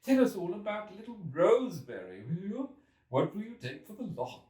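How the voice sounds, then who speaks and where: A man's voice: sits high, big pitch swings, moderate pace, dark tone, voice pushed up. A man voicing a hilarious, scoffing newspaper editor in an audiobook, theatrical and teasing. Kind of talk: audiobook character voice. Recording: roomy echo, distant from mic.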